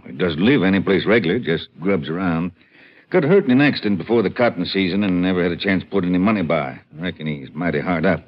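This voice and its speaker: An actor playing an easygoing small-town Texas sheriff in a 1950s radio drama, folksy and conversational, with a husky voice and an American accent. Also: male voice, low-pitched, expressive, fast. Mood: interested, matter-of-fact. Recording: dull lo-fi 1950s radio recording.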